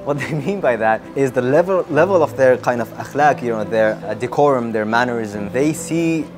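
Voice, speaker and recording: A young man from Japan answers interview questions in a thoughtful, measured way, with an ordinary mid-range voice. He pauses and restarts as he works out his thoughts. A clear music track plays beneath him. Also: mid-range voice, animated. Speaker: interviewee, religion student.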